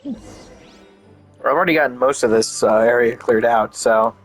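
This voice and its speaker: Guy streaming a game, chatty and rambling, thinking out loud, mid voice, faint music behind. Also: medium pitch, normal speed. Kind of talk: casual, off-the-cuff gameplay commentary.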